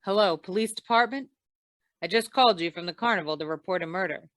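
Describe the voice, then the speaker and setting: A woman's voice: fairly low pitch, fast talker, fairly bright tone, dry recording, close to an everyday voice. A woman playing a hard-boiled, wisecracking insurance investigator in an old-time radio noir, with a dry, clipped delivery. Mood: brisk.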